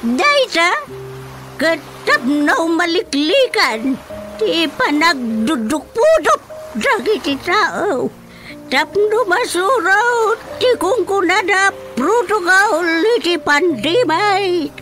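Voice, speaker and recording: A woman's voice: extremely high pitch, big pitch swings, slow pace, a bit bright, heavily acted. A woman playing a chatty mother with a third eye in a radio comedy, in a sing-song, dramatic way of talking. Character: middle-aged mother who sees with a third eye. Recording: music under the dialogue.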